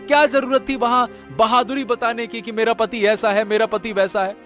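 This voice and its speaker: A man's voice: very high-pitched, level tone, normal speed, a bit bright, faint music behind. A man playing a distressed poor villager husband in a cartoon moral story, scolding and lamenting dramatically.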